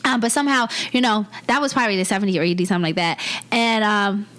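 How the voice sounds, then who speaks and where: A woman's voice: mid-range voice, fast talker, a bit bright. A woman in her twenties, born in Vietnam, telling her life story in an interview with candid, blunt talk and frequent laughs. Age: 20s. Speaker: comedian guest.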